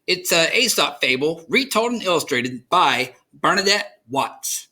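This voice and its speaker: An American man reading a storybook aloud to children as host, in an expressive, dramatic storytelling manner. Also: a little high, measured pace. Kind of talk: animated storybook narration.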